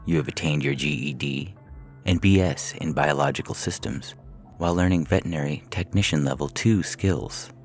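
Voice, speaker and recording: Very deep-voiced man narrating over music. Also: expressive, normal speed, gravelly. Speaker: podcast story reader. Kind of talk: scripted fiction narration.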